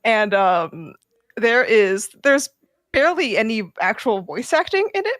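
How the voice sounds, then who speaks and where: High-pitched, animated woman telling anecdotes. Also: no room sound.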